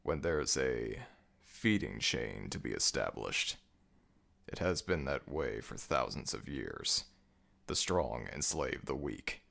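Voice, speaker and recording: A man playing a commanding, brooding ancient jackal god of the dead in an ASMR audio roleplay, with a gravelly, very deep voice and a slow, imperious delivery. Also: expressive, a bit bright, full character voice. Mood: grave, explanatory.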